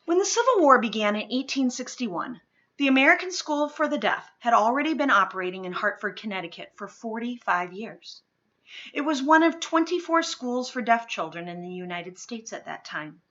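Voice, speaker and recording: A woman reading a children's picture book aloud expressively, with deliberate phrasing, dramatic pauses and emphasis. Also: on the high side, animated, a bit bright, dull audio. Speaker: read-aloud storyteller.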